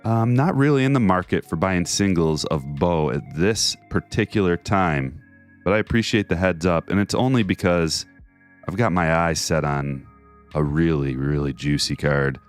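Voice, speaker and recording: A man's voice: normal speed, faint music behind. A man hosts a casual livestream, chatting in a jokey, chatty way and reading viewer messages aloud. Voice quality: deep bass voice. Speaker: card break streamer.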